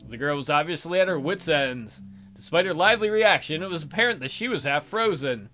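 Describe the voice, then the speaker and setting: Bright-voiced man narrating a visual novel. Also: fairly high pitch, lively intonation, brisk, faint music behind. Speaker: let's play host. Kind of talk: reading a visual novel aloud with dramatic narration and joking asides.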